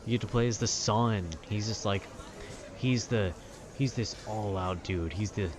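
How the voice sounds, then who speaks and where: A man with a very low voice chats calmly to the listener in a loose, nerdy ramble. He wanders and cuts himself off as he goes. Clear urban background noise sits behind his voice. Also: a little slow. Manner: nerdy, meandering, self-interrupting. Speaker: solo audio creator rambling to the listener. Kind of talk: calm casual rambling chat about video games. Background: urban ambience.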